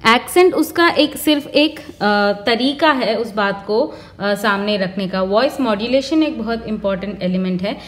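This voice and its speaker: A woman's voice: medium pitch, a little slow, few pauses, fairly bright tone. A woman explaining interview advice in an earnest, instructive way, working through her points one by one. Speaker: career advice vlogger.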